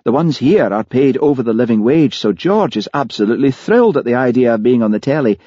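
A man's voice: medium pitch, lively intonation, fast, warm tone, no echo, pitched above the performer's own voice, close to an everyday voice. A man voicing Charlie, a chatty and amused friend sharing gossip. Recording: dull lo-fi, dry.

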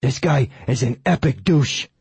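An excitable talking dog acted out by a male narrator from an audiobook. He is a cheeky, loyal sidekick, pitched up from a normal reading voice and bursting out in goofy exclamations. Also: unhurried, breathy edge, no room sound. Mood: cheeky excitement. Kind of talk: audiobook character voice.

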